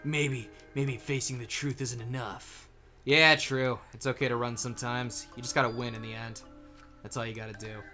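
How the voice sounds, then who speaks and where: A man as an earnest, bright-voiced young student detective. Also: mid-range voice, flat delivery, normal speed, natural voice. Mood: somber, reflective. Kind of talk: anime game fandub acting. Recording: faint music behind.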